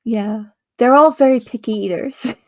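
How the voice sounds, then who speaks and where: A woman's voice: on the high side, moderate pace, darkish tone, no room sound. A woman hosting a casual live chat, talking chattily about herself and laughing at her own stories. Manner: chatty, self-deprecating, laughs often. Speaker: live stream host.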